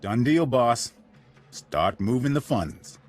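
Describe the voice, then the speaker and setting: Husky, animated small-time crook. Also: male voice, medium pitch, slow, faint music behind. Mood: eager. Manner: mocking, excitable. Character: sneering, excitable male small-time crook henchman. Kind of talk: video game cutscene acting.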